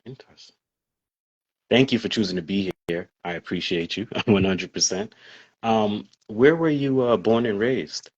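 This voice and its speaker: A man's voice: lowish voice, normal speed. A man hosting an interview in a laid-back, friendly way with fillers, a bit tired. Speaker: interview host. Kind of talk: casual interview over a call. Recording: dull lo-fi audio.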